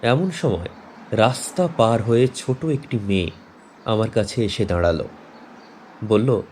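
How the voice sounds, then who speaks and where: Low-voiced, slow-paced male horror storyteller. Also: a little mellow, slight background noise. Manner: measured, suspenseful, dramatic. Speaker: storyteller and presenter. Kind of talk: scripted horror story narration. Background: faint background music.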